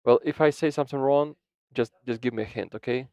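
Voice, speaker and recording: A man's voice: medium pitch, fast talker, darkish tone, smooth, no room sound. A man renders a panel discussion into English on the fly. He often halts, repeats words and restarts sentences as he keeps up with the speakers. The recording is dry and muffled, with a lo-fi edge. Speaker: simultaneous interpreter. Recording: dull, dry lo-fi sound.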